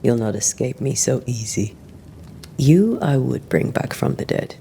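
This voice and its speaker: Stern female monster hunter for a game cutscene: mellow, unhurried, dry, faint rumble behind. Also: animated, gravelly, full character voice. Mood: dry, teasing. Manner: measured, solemn, dry. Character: stern, battle-weary 17th-century female ghost-hunter, devoted lover. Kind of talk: dramatic game cutscene acting.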